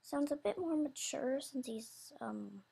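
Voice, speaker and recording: A woman's voice: sits high, lively intonation, unhurried, vocal fry, dark tone, a little hiss or hum. A woman giving a casual, loosely scripted announcement about animation characters in a hesitant, rambling, apologetic way. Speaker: animator describing characters for voice auditions.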